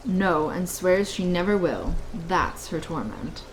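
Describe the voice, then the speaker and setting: A woman's voice: fairly low pitch, animated, slow, slight background noise, rough recording. A woman acting an elderly, solemn nobleman and gossiping father in an amateur Shakespearean radio play. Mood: earnest gossiping.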